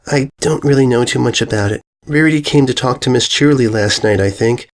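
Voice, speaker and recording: A man voicing a shy, anxious young schoolgirl pony in a storybook reading, stammering and hushed. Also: medium pitch, fairly quick, close to an everyday voice. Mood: nervous, hushed.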